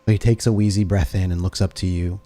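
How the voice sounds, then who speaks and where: A man's voice: low voice, steady tone, quick pace, dark tone. A man narrating a tabletop roleplaying game as storyteller, describing scenes like film shots.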